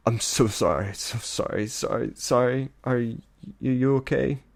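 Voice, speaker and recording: A man's voice: mid-range voice, fairly even intonation, vocal fry, voice pushed up, natural voice. A man voicing an anxious, doting father stallion in a story reading, tense and tender, tending to falter and repeat himself. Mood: frantic, tender. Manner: shaky, halting, repeating himself. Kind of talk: animal fantasy story reading.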